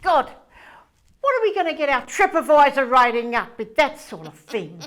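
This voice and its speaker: Breezy angel sidekick for stage comedy, high and animated, theatrical, with dramatic pauses. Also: female voice. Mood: breezy, wry. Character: breezy, fussing female angel Gabrielle, Saint Peter's sidekick. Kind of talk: comedy stage play dialogue.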